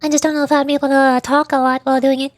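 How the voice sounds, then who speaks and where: A woman's voice: sits high, level tone, rapid-fire, dry recording. A woman livestreamer chatting casually and playfully in a rambling way while gaming. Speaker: VTuber livestreamer.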